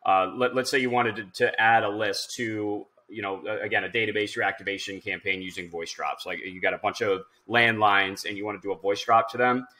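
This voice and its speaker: A man in his thirties with a fairly low voice that has a bright, forward edge. He talks in an informal, instructional way, like someone walking viewers through software on screen. He often thinks aloud as he goes. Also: fairly even intonation, fairly quick, hardly any pauses. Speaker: tutorial presenter. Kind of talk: casual screen-share software tutorial.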